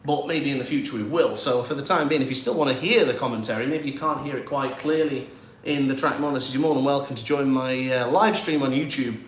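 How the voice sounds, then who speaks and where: A man's voice: mid-range voice, fast talker, a little hiss or hum. A young man from Lancashire with a Lancashire accent, co-hosting racing commentary in a chatty, jokey way. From Lancashire, England. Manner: chatty, jokey, laughs easily. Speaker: host and co-commentator. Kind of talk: relaxed racing commentary banter. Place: commentary room above a racetrack. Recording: very echoey room.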